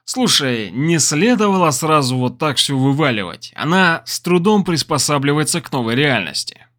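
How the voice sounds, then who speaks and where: A man's voice: a little high, very animated, moderate pace, a bit bright. A man voicing a weary, earnest businessman and determined conspirator in an audiobook, with a grave, emphatic manner. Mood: weary, conceding.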